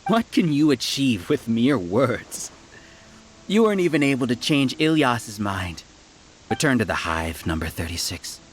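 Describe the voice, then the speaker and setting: An actor playing a scheming male scholar who leads a hive mind, speaking in a smooth, condescending, lecturing way. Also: mid-range voice, very animated, a little slow, slight background noise. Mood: cold. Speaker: villain. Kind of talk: video game voice acting.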